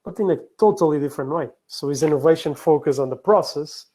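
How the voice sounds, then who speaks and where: Male conference speaker, Portuguese accent, native Portuguese speaker. Soft, dark timbre, slightly high pitch, explanatory business delivery. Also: fast. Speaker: conference speaker, operational excellence professional. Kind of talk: business presentation.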